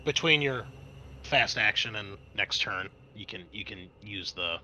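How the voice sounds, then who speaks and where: A man's voice: on the low side, expressive, a little slow, sharp tone, slight background noise, rough recording. A man working as a game master, narrating a tabletop role-playing game in a conversational, improvised way.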